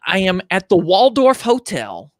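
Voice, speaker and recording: A man with a high voice for a man, telling stories as an interview guest with emphatic, animated delivery and frequent restarts. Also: measured pace, no echo, studio quality. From United States. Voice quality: higher-pitched male voice. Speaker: podcast guest. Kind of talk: enthusiastic interview storytelling.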